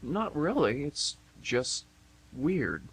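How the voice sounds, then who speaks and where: A man's voice: fairly high pitch, sing-song, very slow, gravelly, slight background noise, full character voice, poor audio. A man playing a nervous, awkward, earnest suitor in radio theatre, stammering and rambling apologetically. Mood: hesitant, curious. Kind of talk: amateur radio theatre acting.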